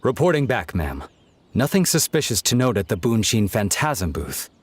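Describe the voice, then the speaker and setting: A man's voice: lowish voice, big pitch swings, moderate pace, slightly rough, no echo. A man playing a dutiful, deferential detective reporting formally to his superior in a video game.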